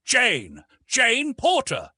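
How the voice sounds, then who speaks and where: A man's voice: very high-pitched, wildly swooping pitch, very slow, pushed and bright, breathy edge, no echo, voice pushed up, heavily acted. Man playing a fierce, commanding jungle hero. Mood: urgent calling. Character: bold, commanding male stranger, fierce primeval jungle man.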